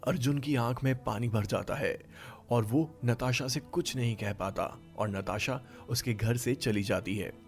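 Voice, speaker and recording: A man's voice: medium pitch, unhurried, faint music behind. A man reading scripted story narration as a storyteller.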